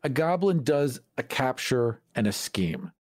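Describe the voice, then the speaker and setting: A man of medium pitch chats as a podcast co-host about tabletop games. His delivery is conversational and measured, laying out his reasoning step by step. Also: very animated, darkish tone. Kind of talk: casual tabletop gaming discussion.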